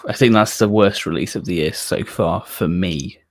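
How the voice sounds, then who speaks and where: A man's voice: deep voice, moderate pace, no room sound. Man in casual chat, dry close sound. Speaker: co-host of a fan discussion show.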